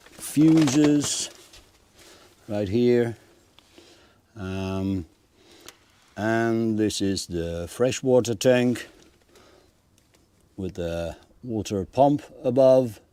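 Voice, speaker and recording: A man's voice: on the low side, extremely slow, pauses often, dark tone. A man presenting a narrated tour of a motorhome as a vlogger.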